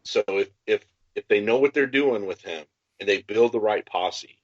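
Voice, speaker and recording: A man's voice: fairly low pitch, normal speed, no room sound, lo-fi sound. A man co-hosting a gaming podcast, talking strategy casually and emphatically, restating his points. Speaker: podcast co-host. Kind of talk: casual tabletop game strategy talk.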